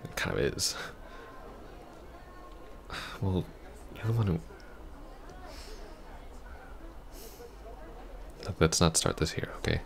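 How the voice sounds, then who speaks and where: A man playing an awkward, apologetic young ex-boyfriend in an ASMR roleplay, halting and stammering. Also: low-pitched, expressive, creaky, crowd noise, heavily acted. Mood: hurt, apologetic. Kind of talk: ASMR roleplay, soft intimate acting.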